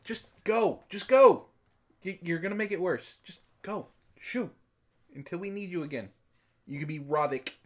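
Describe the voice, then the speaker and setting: A man's voice: high-pitched, moderate pace. A man bantering in a comedic book club chat, theatrical and mock-pompous, easily worked up. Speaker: book club co-host and reader.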